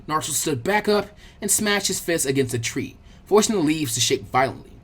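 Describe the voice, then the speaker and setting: Narrator for action fiction: scripted, expressive, lively range. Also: mid-range voice, fairly quick, breathy edge. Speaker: audiobook narrator.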